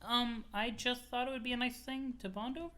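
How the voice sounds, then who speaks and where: A streamer doing a high, perky, eager troll girl. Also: steady tone, a little fast, pushed and bright, lightly acted. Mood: hurt, curious. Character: cheerful, morbidly enthusiastic troll girl.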